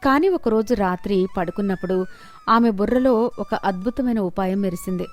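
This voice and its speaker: A woman's voice: mid-range voice, moderate pace. A woman delivering scripted narration of a moral story, with music behind her.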